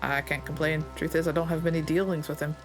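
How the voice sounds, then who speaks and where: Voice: medium pitch, little pitch movement, quick pace, faint music behind, close to an everyday voice. A player reading aloud the lines of a plainspoken Scottish stable master in a game, in a folksy, understated way.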